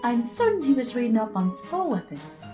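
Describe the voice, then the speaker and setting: A woman's voice: on the high side, sing-song, normal speed, over music. A woman playing an earnest, certain female animal witness giving testimony in an audio drama.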